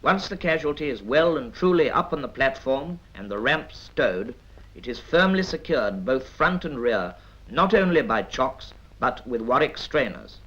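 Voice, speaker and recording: A British man reads scripted 1950s military training film narration in a measured, instructive manner with dry asides. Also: mid-range voice, expressive, a bit bright. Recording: dull vintage 1950s film soundtrack.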